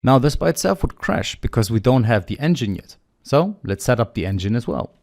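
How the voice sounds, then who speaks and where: A man's voice: medium pitch, sing-song, fairly quick, gravelly, darkish tone, no echo, studio quality. A man teaching a coding tutorial, steadily explaining each step aloud while working through the code. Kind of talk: screen-recorded coding walkthrough.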